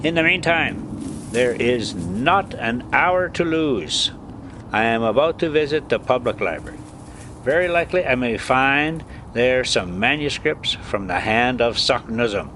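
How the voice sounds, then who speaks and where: A man reads as an eager, single-minded scholarly uncle from an adventure storybook. He declares things emphatically, his pitch swinging in a lively way, with faint noise behind him. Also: unhurried. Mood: eager, confident.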